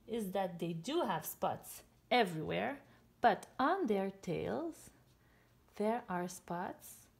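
A woman's voice: fairly high pitch, creaky, a little mellow. A woman in her thirties, a children's author and illustrator, explaining her drawing in simple, short phrases as if for young children. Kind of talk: storytime and drawing demonstration for children.

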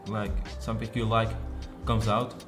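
A man's voice: fairly low pitch, flat delivery, moderate pace, creaky. A teenage boy from the Czech Republic, a Czech native speaker, answering interview questions hesitantly with many fillers. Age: teens. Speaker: guest. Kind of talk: casual interview. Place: indoor room with wooden wall. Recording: roomy echo, music under it.

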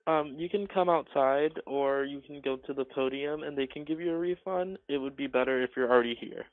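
A man handles a customer service call for a cinema. He is polite but halting and tends to repeat himself. His mid-pitched voice comes through a narrow, tinny phone line. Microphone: telephone call.

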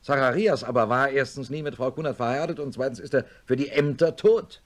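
An actor playing a middle-aged neurosurgeon in a German radio play, speaking in a measured, matter-of-fact and insistent way as he reasons and narrates. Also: mid-range voice, close to an everyday voice. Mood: insistent, exasperated. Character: sober male neurosurgeon, blunt old friend, narrating his story.

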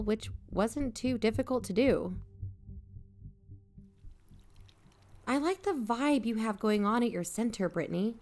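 A woman's voice: fairly high pitch, a little mellow. A woman playing an inquisitive, matter-of-fact podcast investigator in a mock-documentary audio drama. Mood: observant narration.

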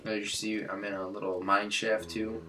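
A man's voice: lowish voice, level tone, normal speed, bright, forceful tone, slight background noise. A young man with a stuffed-up nose hosting a casual game tutorial, rambling with many fillers and restarts. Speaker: Minecraft tutorial host.

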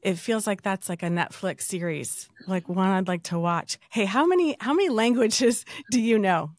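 A woman's voice: medium pitch, expressive, moderate pace, dry recording. Effusive middle-aged woman host, dry sound. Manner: effusive, warm asides, restarts questions. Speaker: podcast host. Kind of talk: casual interview talk.

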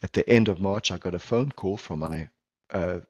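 Middle-aged South African presenter, Portuguese first language; deep voice, dry close mic, earnest and halting. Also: male voice, darkish tone, husky, no room sound, highs cut off. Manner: earnest, measured, with frequent ums and restarts. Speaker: palliative care physician presenter. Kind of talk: conference presentation over video call. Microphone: video call headset or laptop mic.

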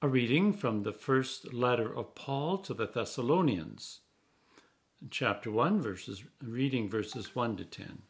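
An older man reads scripture aloud in the manner of a church minister. His pace is slow and deliberate, while his pitch rises and falls widely to stress key words. The tone is solemn and measured. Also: lively intonation. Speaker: Anglican clergyman reading scripture. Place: small indoor room.

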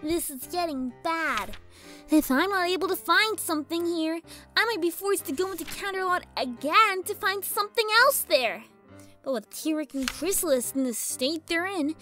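A woman's voice: very high-pitched, animated, normal speed, pushed and bright, faint music behind, pitched above the performer's own voice, heavily acted. A woman voicing a scheming little girl pegasus pony villain with a peevish, whiny, dramatic delivery in a cartoon-style story reading. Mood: exasperated, fretful. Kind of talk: cartoon-style character voice in fan fiction reading.

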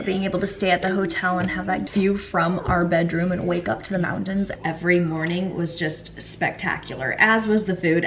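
An upbeat young woman talks to her camera while she cooks and does jobs around the house, chatty and eager. Her pitch sits right in the middle for a woman. A clear hum of household noise runs under her voice. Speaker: homemaking vlogger. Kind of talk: casual chat to camera. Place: home kitchen and small bathroom. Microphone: handheld camera at arm's length.

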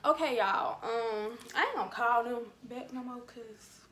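A woman's voice: on the high side, measured pace, a bit bright. A young adult woman, chatting casually and making prank calls as a vlogger in a bedroom. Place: small bedroom, indoors.

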